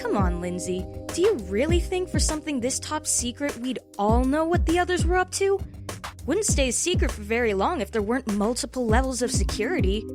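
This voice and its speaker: Actress as breezy young intern, fairly high lively voice, casual, persuasive, audio drama, music behind. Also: female voice, fairly quick. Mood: confident bluffing. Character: young female intern, breezy undercover bluffer. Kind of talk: animated comic-book audio drama.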